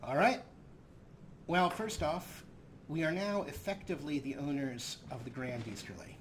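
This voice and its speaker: A man's voice: a little high, expressive, moderate pace, a little hiss or hum. A man hosting a video game let's play, giving commentary as he goes. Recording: loud game ambience behind voice.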